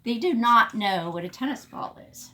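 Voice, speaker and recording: Very animated woman reading to kids. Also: on the high side, normal speed. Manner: explanatory, expressive, child-directed. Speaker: teacher reading a picture book to young children. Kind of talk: animated read-aloud storytelling.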